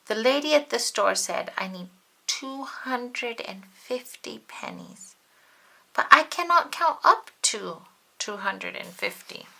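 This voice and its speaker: A woman's voice: fairly high pitch, lively intonation, slow, vocal fry, bright, forceful tone, pitched above the performer's own voice, exaggerated voice. A woman voicing an earnest, polite little girl in a storybook, explaining things sincerely.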